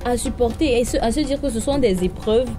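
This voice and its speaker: A woman's voice: on the high side, fairly quick. Cameroonian woman hosting a chatty debate, jumps in a lot, music playing behind. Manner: lively, chimes in and finishes the other's sentences. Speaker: debate host. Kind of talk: casual debate chat.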